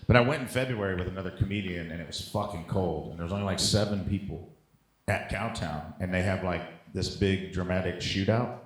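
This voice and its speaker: A male comedian speaks in a fairly low voice, with strong room echo around it. He tells stories in a casual, sweary, conversational way, often laughing through them as he would on a live show. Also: fairly quick, a little hiss or hum. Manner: casual, profane, laughs while telling stories. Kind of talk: comic storytelling, casual banter. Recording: roomy, echoing live-show sound.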